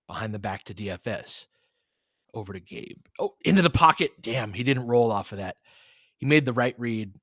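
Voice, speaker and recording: A man giving informal, partisan live basketball commentary as host, excitable, with whoops and casual swearing. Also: medium pitch, dry recording, clean studio sound.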